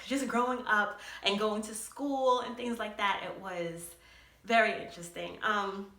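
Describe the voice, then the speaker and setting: A young woman telling a story on her vlog in an animated way, often laughing as she talks. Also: medium pitch, measured pace, reverberant. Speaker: vlogger.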